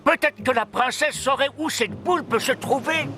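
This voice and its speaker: A man's voice: very high-pitched, normal speed, background noise. A man voicing a fussy, anxious toy creature with a pedantic, easily flustered manner in a cartoon dub. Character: fussy, anxious male toy creature, self-styled expert. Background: background music.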